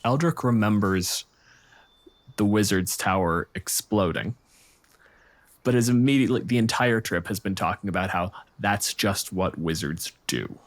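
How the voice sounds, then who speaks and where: A man acting as game master, narrating an improvised tabletop story with dramatic pauses and dry humor. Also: lowish voice, animated.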